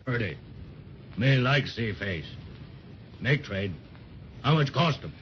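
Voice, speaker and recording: Casting: stolid, stubborn carved wooden man, slow broken sentences and grunts, old radio play, muffled sound. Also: mid-range voice, expressive. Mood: bargaining. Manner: stilted broken English, grunting hums. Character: gruff, stubborn wooden cigar-store Indian man, monosyllabic. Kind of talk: 1930s children's radio serial acting. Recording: dull, noisy 1930s radio transcription.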